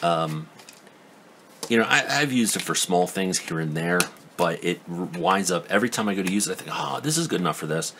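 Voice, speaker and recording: A man with a remarkably deep, low voice talks through a tool review at his workbench. He rambles in a loose, skeptical way, trailing off and restarting with plenty of ums. A faint hum sits behind him. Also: animated, a little fast. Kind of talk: casual hands-on product review. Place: indoor workbench.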